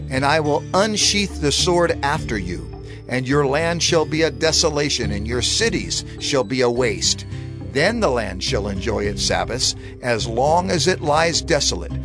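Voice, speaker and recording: Brief: male scripture reader, declamatory and lively in pitch, clear music bed underneath. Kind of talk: solemn dramatized scripture reading. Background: background music.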